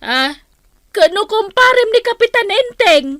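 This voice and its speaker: Woman playing a shrill nagging villager. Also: sing-song, unhurried, bright, forceful tone, no room sound, clean studio sound, heavily acted. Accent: Filipino. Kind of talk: comedic Filipino radio drama acting.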